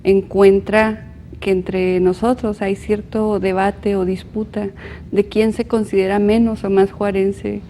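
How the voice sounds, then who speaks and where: A northern Mexican woman gives measured, academic explanations as a panel guest. Her voice is mellow with plenty of vocal fry, over faint background noise. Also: medium pitch, little pitch movement, rough recording. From Chihuahua, northern Mexico. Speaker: panel guest, social researcher.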